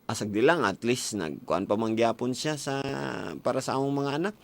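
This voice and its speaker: A male radio host with a Cebuano Filipino accent gives casual love advice in a playful storytelling manner. His medium-pitched voice sits over clearly audible background music, as on a broadcast. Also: lively intonation, fairly quick, no room sound.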